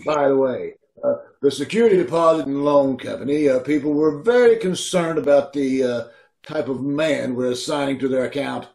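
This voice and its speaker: An actor playing a pompous, sententious company boss who talks like a copybook in an old-time radio comedy, recorded over a video call. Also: male voice, medium pitch, moderate pace, vocal fry, a little mellow, natural voice, poor audio. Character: pompous, proverb-spouting male company boss.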